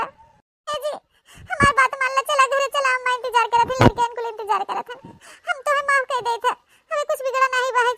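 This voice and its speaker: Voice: very high voice, pushed and bright, no echo, heavily acted, poor audio. A performer voicing a wronged, heartbroken village wife and mother in a cartoon melodrama, plaintive and pleading, with an eastern Uttar Pradesh Awadhi accent. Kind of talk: regional cartoon comedy melodrama.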